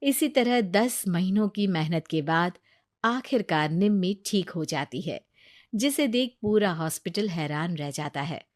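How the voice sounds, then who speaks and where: A woman's voice: fairly low pitch, expressive, a little slow, dry recording, studio quality. A woman narrating a scripted moral story for a cartoon.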